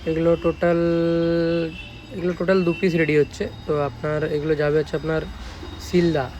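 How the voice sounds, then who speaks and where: A man's voice: on the high side, flat delivery, normal speed, darkish tone. A man with a West Bengal Bengali accent casually showing products in a vlog, informal and often checking in with listeners. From West Bengal, India. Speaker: speaker repair shop owner and presenter. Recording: noisy, with vehicle and outdoor ambience.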